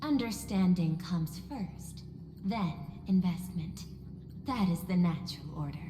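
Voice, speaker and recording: A woman playing a coolly logical female machine steward in a video game, speaking formally and precisely with measured detachment. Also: lowish voice, lively intonation, noisy background. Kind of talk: scripted video game voice acting. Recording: echoey hall reverb, music behind.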